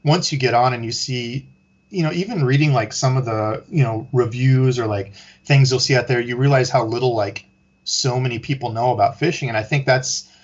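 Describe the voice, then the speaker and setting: A man guesting on a podcast, laid-back and rambling with lots of "you know" and "like", with a husky voice over a call. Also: mid-range voice, moderate pace, dull audio. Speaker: guest, fishing YouTuber. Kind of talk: casual interview chat.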